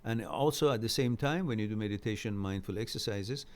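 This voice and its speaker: A middle-aged man whose first language is Farsi, hosting an informal live question-and-answer lecture on mental health, explaining in a halting way with pauses. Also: fairly low pitch.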